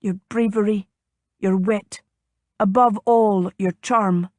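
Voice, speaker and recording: A woman voicing a courteous, gallant gentleman suitor in an audiobook, measured and formal in manner. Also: mid-range voice, steady tone, dry recording, lightly acted. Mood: ardent. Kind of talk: audiobook character dialogue.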